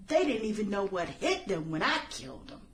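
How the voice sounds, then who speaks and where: A woman acting a bitter, vengeful former outcast turned killer in a radio drama, sarcastic and theatrical with drawn-out phrasing. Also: medium pitch, sing-song, a little hiss or hum, natural voice. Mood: mocking.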